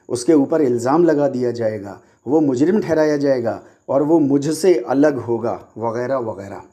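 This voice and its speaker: A man's voice: medium pitch, mellow. A man lecturing on religion in a didactic way, explaining step by step and repeating key points for emphasis.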